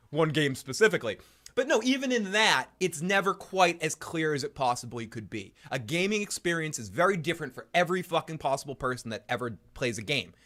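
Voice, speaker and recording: A middle-aged man with a Boston accent hosts a casual live stream, rambling and cursing with excitable outbursts, saying he is sick. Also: a little high. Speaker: podcast host.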